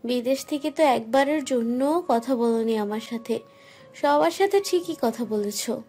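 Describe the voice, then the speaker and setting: A woman voicing a sharp-tongued young wife who scolds and teases in an audio story. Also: on the high side, measured pace. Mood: sassy. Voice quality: sassy, scolding. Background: soft music.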